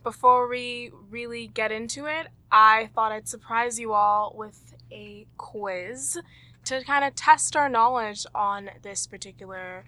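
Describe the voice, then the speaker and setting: A woman's voice: on the high side, a little slow, bright, forceful tone. A woman with a Canadian accent hosting, presenting quiz questions and leading a group discussion. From Toronto, Canada. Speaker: host and quizmaster.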